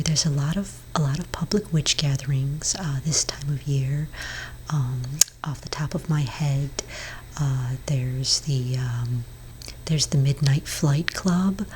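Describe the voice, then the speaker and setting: A woman speaking in a breathy whisper for a soft-spoken ASMR roleplay, playing a gentle, hesitant therapist for witches. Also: low-pitched, slight background noise, low-quality recording. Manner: gentle, hesitant, halting counsel.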